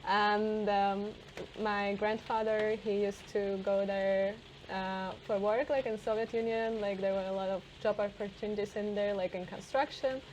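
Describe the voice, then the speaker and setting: A woman's voice: mid-range voice, steady tone, moderate pace, a little mellow, muffled recording, a little hiss or hum. A woman who grew up in Siberia, a native speaker of Russian and Armenian, chatting casually in a hesitant way with many fillers and restarts. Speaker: co-host. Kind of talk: casual conversation.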